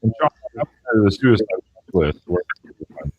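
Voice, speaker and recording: A man's voice: fairly low pitch, very animated, normal speed, slightly rough, highs cut off. A man hosting a live show in a casual, rambling, joking way. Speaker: host. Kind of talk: casual chat.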